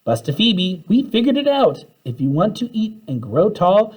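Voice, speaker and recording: A man's voice: high-pitched, very animated, normal speed, darkish tone, breathy edge, pitched above the performer's own voice, heavily acted. A man voicing an eager, helpful schoolboy in a storybook, calling out instructions.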